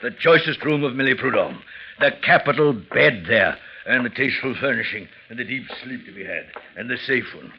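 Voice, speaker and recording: An ingratiating host of a shady gambling den in an old radio play. His florid, effusive talk swoops up and down, with a creaky rasp, on a dull old recording. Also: medium pitch, animated, brisk. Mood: effusive, oily. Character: unctuous, effusive male host of a shady gaming house. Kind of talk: 1940s radio acting.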